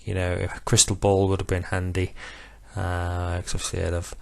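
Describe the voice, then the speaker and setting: Low-voiced man, self-confessed monotone. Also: gravelly, dry recording. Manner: self-described monotone, measured with filler pauses. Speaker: betting software demonstrator. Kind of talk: screen-recorded tutorial walkthrough.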